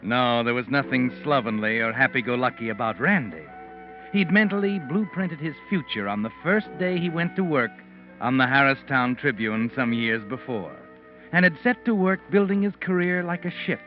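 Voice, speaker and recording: An actor playing a sinister, all-knowing mystery narrator who speaks ominously in 1940s radio style. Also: male voice, mid-range voice, lively intonation, normal speed, lightly acted. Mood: sly, knowing. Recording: dull old radio recording, organ music.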